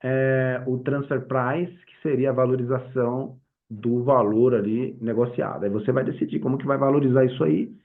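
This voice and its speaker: A Brazilian man teaches in a screen-share tutorial, with an ordinary mid-pitched voice. He explains step by step in a conversational way, dropping in short tag questions to check that listeners follow. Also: steady tone, normal speed, phone-quality sound. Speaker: software tutorial instructor.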